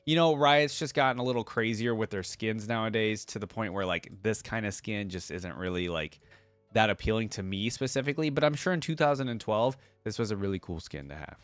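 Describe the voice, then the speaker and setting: A man's voice: fairly low pitch, fairly quick, no room sound, faint music behind. A man hosting a casual reaction commentary on game skins, thinking aloud in an informal way. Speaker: YouTube reaction host.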